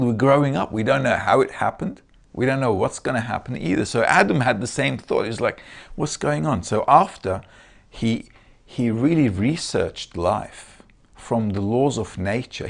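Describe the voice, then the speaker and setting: Turkish-accented male lecturer, gravelly mid-range voice, friendly check-ins with the audience. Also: mid-range voice. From Turkey. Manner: conversational, checks in with listeners often. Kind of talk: casual spoken lecture. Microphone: video call.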